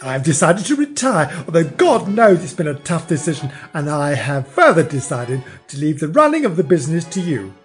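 A man's voice: fairly high pitch, big pitch swings, moderate pace, hardly any pauses, faint music behind. A man voicing an overbearing, blunt older mother who scolds and nags her grown son in a storybook reading. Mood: self-important. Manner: brash, nagging, exclamatory.

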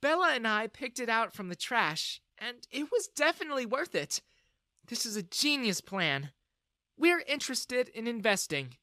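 A man voicing a gentle, apologetic middle-aged mother in an animated story. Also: very high voice, moderate pace, dry recording, studio quality, voice pushed up, exaggerated voice. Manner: soft, apologetic.

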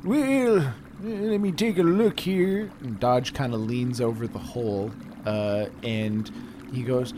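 A man's voice: medium pitch, lively intonation, takes it slowly, dark tone. A man playing a tabletop game, describing his character's moves in a loose, casual, playful way. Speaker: player. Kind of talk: casual tabletop roleplay chat. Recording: faint background noise.

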